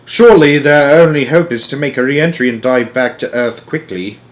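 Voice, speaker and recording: A man's voice: medium pitch, normal speed, close to an everyday voice. A man voicing an earnest, eager young boy in a storybook, urgent and pleading.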